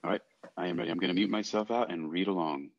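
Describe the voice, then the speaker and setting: A man's voice: low-pitched, fast talker, no room sound. A man casually hosting and moderating a reading club podcast, recorded in dull, dry lo-fi audio.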